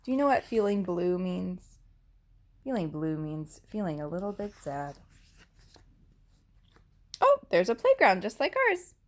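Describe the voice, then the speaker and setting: Woman reading slowly and expressively to young children. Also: on the low side. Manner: expressive, addresses young children directly, asks questions. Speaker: elementary school teacher reading to her class. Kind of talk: children's picture book read-aloud with asides.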